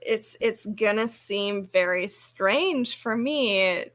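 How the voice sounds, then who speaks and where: A woman's voice: mid-range voice, takes it slowly, a bit bright. A woman co-hosting a podcast in casual, informal fan chat. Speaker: podcast co-host.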